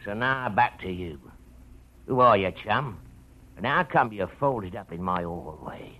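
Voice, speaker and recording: A man's voice: fairly low pitch, animated, measured pace. A man with an American accent acting a menacing, sardonic, slangy landlord in an old radio crime drama. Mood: nosy, sardonic. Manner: sneering, slangy tough guy. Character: menacing, sardonic male rooming-house landlord, bird fancier. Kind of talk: 1940s-50s radio noir acting. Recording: muffled old radio recording, faint noise.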